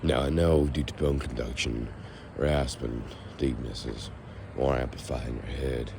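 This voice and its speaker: Very deep, gravelly, hoarse young man. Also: steady tone, unhurried. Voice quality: raspy. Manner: rambling, musing to camera. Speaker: vlogger. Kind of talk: casual walking vlog. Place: outdoors, walking on a foggy street. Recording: noisy outdoor recording with passing traffic.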